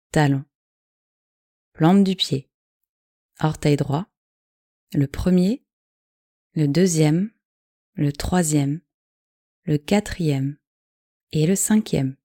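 A woman with a low, mellow voice leads a guided relaxation. She gives calm, measured instructions in short phrases, very slowly. The recording is dry and close. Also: dry recording. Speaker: yoga nidra guide.

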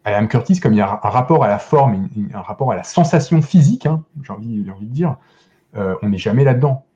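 A man's voice: medium pitch, lively intonation, fast, dark tone, lo-fi sound. A man in a casual podcast discussion as a guest critic, hesitating and repeating words as he searches for them.

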